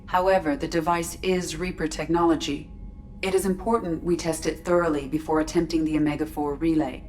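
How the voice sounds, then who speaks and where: An actress voicing a calm starship computer that speaks in a precise, even, clipped way. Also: female voice, low voice, brisk, a little hiss or hum, lightly acted. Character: ship's AI, precise, calm, female-voiced. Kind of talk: video game cutscene acting. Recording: game audio with score.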